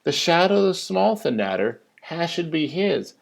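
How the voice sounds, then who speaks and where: A man's voice: on the high side, sing-song, moderate pace, vocal fry. Man reading a rhyming storybook expressively. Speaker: teacher reading a children's story. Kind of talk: animated storybook read-aloud of rhyming verse.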